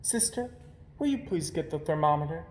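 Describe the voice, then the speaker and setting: A man voicing a caring, proper mother bear from a storybook in a gentle manner. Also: a little high, sing-song, normal speed, reverberant, voice pushed up. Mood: caring, brisk.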